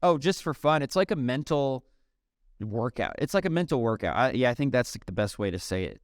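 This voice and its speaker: A man, chatting casually as a podcast guest, relaxed and peppered with "um" and "dude". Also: medium pitch, a little fast, dry recording, studio quality. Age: 30s. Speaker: podcast guest, software company CEO. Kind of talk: casual interview conversation.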